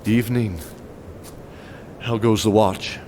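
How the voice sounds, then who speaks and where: An actor playing a weary, guilt-ridden knight captain, narrating and speaking in a scripted fantasy audio drama. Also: male voice, fairly low pitch, fairly even intonation, slow pace, background noise. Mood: awkward, courteous. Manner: solemn, descriptive, dramatic delivery.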